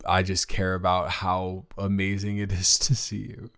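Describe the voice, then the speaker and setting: A man's voice: sits low, monotone, normal speed, a bit bright. A man playing a nervous, smitten young college athlete reunited with a childhood friend, rambling and stammering earnestly in an improvised romantic audio roleplay.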